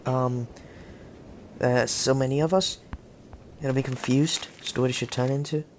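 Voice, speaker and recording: A man's voice: medium pitch, steady tone, moderate pace, slight background noise, lightly acted. A man playing a hesitant, puzzled schoolboy in an audio drama. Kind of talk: fan audio drama acting.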